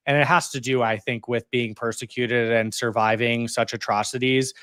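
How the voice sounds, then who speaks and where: A man's voice: medium pitch, fairly even intonation, a bit bright, clear, resonant tone, no echo. Chatty man in his thirties, dry close sound. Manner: chatty, heavy use of "like" and "you know", quick laughs. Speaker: interview guest, community organizer. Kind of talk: casual conversational storytelling.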